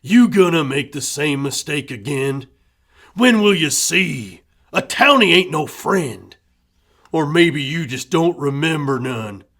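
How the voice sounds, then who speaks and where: Narrator voicing a gruff father. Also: medium pitch, expressive, slow, heavily acted. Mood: angry. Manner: loud, scolding, hard drawl. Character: gruff rural father, bitter and suspicious. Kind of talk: rhyming storybook voice acting.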